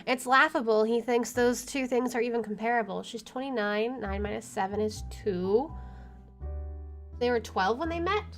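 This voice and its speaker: A woman with a fairly high voice hosts a game playthrough. She reads narrative text aloud and slips into casual commentary, with some music behind her. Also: normal speed. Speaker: gaming video host.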